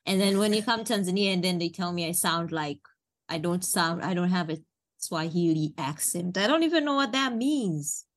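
A woman's voice: fairly low pitch, normal speed. A woman co-hosting a podcast in casual chat, laid-back, laughing easily and trailing off mid-thought. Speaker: podcast co-host.

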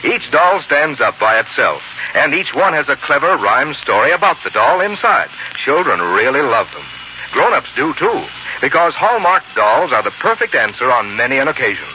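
Bright, forceful male vintage radio announcer. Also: medium pitch, very animated, moderate pace. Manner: polished, persuasive sales delivery. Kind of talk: scripted 1940s radio commercial. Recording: dull, slightly noisy 1940s radio broadcast.

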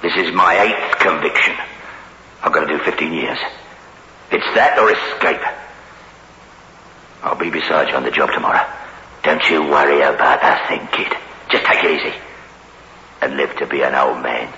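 An old radio thriller recording, roomy and reverberant. a hardened jailbird gangster with a low, very sharp-edged voice, bullying and cajoling in rough criminal slang. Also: male voice, moderate pace, long pauses, muffled recording, slight background noise, full character voice. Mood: tough, boastful. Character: hardened, menacing career criminal convict, male. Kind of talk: 1960s radio suspense drama acting.

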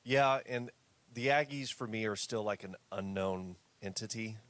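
A man's voice: fairly low pitch, moderate pace, fairly bright tone, no echo. A man in his early thirties co-hosting a sports podcast, easygoing and hedging with lots of filler. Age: 30s. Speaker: podcast co-host. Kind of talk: casual sports chat.

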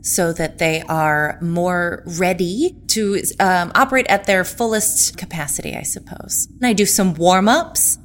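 A woman's voice: a little slow, few pauses, slight background noise, close to an everyday voice. A woman playing a proper opera singer. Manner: hesitant, lots of ums, lively pitch.

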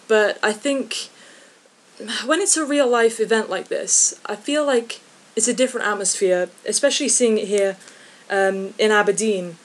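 Hesitant woman. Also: medium pitch. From English Midlands. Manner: hesitant, many ums and likes, thoughtful. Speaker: freelance film journalist, podcast guest. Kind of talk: casual podcast chat.

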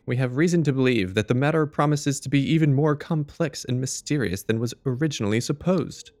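A man acting a loyal, earnest gentleman in an audio drama, with a measured, formal delivery. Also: medium pitch, lightly acted.

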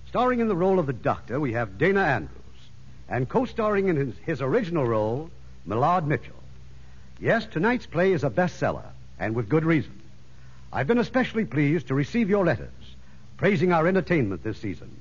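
A man's voice: on the high side, slightly rough. An American man hosting with a formal, scripted showman's introduction in 1950s radio style. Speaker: producer and host. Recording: muffled old radio broadcast.

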